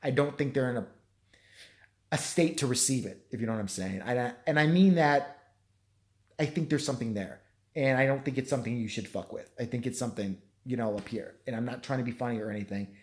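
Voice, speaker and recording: Worn out guy with a shot hoarse voice, rambling, cussing, beating himself up. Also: mid-range voice, a little fast, darkish tone. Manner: rambling, swears a lot, self-deprecating. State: hoarse, voice nearly gone from yelling; tired. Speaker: vlogger. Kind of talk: late-night rambling apology vlog.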